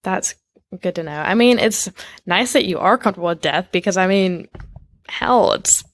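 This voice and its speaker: A woman's voice: fairly low pitch, expressive, normal speed, no echo. A woman hosting a podcast, asking long rambling questions in a casual, chatty way. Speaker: podcast host. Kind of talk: casual conversational interview.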